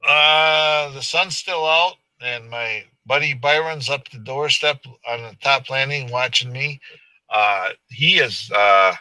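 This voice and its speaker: A man's voice: medium pitch, slow pace, sharp tone, no echo, low-quality recording. A man hosting a casual live stream, rambling and joking with his viewers and a co-host. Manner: rambling, joking, laughs often.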